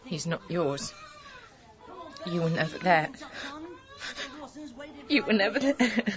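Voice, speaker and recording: A woman's voice: on the low side, lively intonation, slow pace, lo-fi sound. An actress with a British accent playing an exasperated, wounded wife and new mother in a radio comedy drama, blunt and bitter. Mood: wounded, firm. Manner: sarcastic, blunt.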